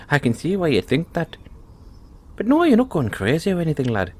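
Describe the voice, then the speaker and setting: Man voicing a male leprechaun, highish pitch, wildly animated, cheerful, sly, folksy, audio roleplay. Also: normal speed. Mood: amused, teasing. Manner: chuckling, teasing, folksy talk. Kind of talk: audio roleplay addressed to the listener.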